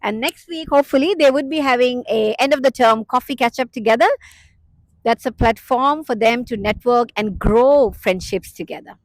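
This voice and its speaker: A woman's voice: fairly high pitch, moderate pace, no echo, poor audio. A woman from university staff, talking in an upbeat, welcoming way as she promotes a study program. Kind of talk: enthusiastic promotional talk.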